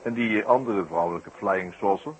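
A low-pitched, shrewd male detective played by a male actor muses with dry irony and deliberate hesitations. It is old radio play acting, with faint noise on the recording. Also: natural voice, rough recording. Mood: probing, ironic. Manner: hesitant, wordy, drily ironic musing. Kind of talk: 1960s Dutch radio drama acting.

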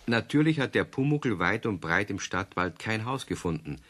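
A man narrating a children's radio play in a lively storytelling manner. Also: lowish voice, normal speed.

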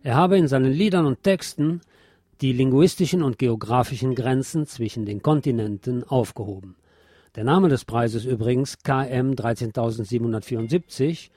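A man's voice: mid-range voice, mellow, no echo. A man presenting scripted news and commentary on the radio, reading as a presenter.

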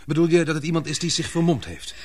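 An actor in a radio play playing a concerned, puzzled but patient lover who speaks hesitantly and soothingly. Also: male voice, on the high side, quick pace, close to an everyday voice.

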